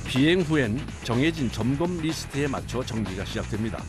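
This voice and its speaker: A man's voice: mid-range voice, expressive, a little fast. A man reading scripted documentary narration. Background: background music.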